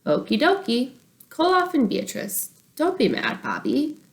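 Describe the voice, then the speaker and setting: Storybook little girl, played by a woman: high-pitched, eager, playful, babyish. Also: measured pace, creaky, heavily acted. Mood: playful, cheerful. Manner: excitable, makes up babyish nonsense words.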